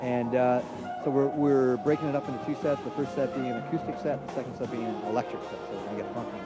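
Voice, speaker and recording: A man from Trinidad chatting casually as a musician being interviewed, using relaxed hip hop slang. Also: mid-range voice, fast talker. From Trinidad, from San Fernando. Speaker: jazz trumpet player, interview guest. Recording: loud music in the background.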